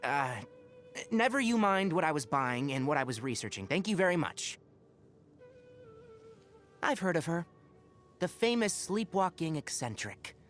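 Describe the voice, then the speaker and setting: A man's voice: on the high side, big pitch swings, unhurried, pauses often, a bit bright, soft background music. A man voicing an earnest, wry young forest ranger scholar in a video game, speaking in an articulate, thoughtful, caring way. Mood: wry, dismissive. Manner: articulate, thoughtful, dryly opinionated. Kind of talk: scripted game character monologue.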